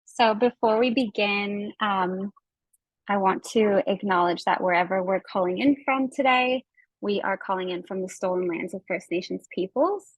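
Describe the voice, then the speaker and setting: A woman's voice: mid-range voice, normal speed, dry recording, dull audio. A woman with a Canadian accent hosting an online workshop over a video call, talking in a friendly, informal way. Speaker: workshop host and facilitator. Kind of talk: presenting an online workshop to participants.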